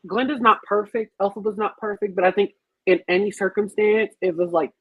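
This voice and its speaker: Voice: medium pitch, fairly even intonation, brisk, husky. An emphatic speaker on a casual podcast panel, telling stories expressively and swearing freely. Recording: roomy, slightly muffled sound.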